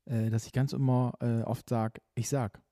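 A man's voice: medium pitch, flat delivery, moderate pace, dark tone, no room sound, studio quality. A man co-hosting a casual podcast, telling rambling stories full of hesitant fillers. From Bremerhaven, northern Germany. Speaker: podcast co-host.

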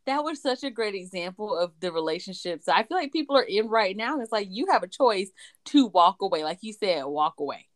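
A woman in casual conversation, reacting supportively with expressive exclamations. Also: mid-range voice, brisk. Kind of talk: casual chat, listening and reacting.

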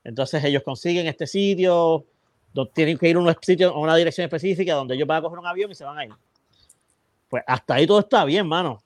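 A man's voice: fairly high pitch, fast, no echo. Chatty Puerto Rican man retelling a plot. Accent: Puerto Rican Spanish. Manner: rambling, chatty plot retelling with many restarts. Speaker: podcast co-host. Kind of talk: casual film review chat.

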